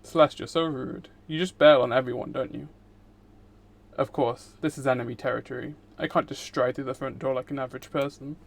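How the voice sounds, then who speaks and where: Man, livestream host, medium-pitched voice, visual novel read-aloud, informal asides to chat. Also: fairly even intonation, brisk, vocal fry. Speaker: streamer, VTuber host. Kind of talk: live stream, reading visual novel text aloud and chatting to viewers.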